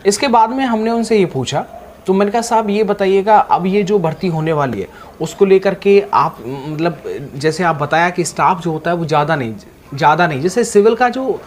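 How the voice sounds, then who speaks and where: A man's voice: high voice, normal speed. A man giving exam guidance in an informal, repetitive, colloquial way, addressing viewers directly. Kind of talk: informal explainer with sponsor plug. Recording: faint background noise.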